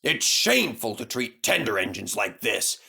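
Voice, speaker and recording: A man's voice: on the low side, sing-song, moderate pace, vocal fry, pushed and bright, slightly rough, heavily acted. A man voicing a proud, pompous big male steam engine with a haughty, complaining manner in a children's story. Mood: indignant.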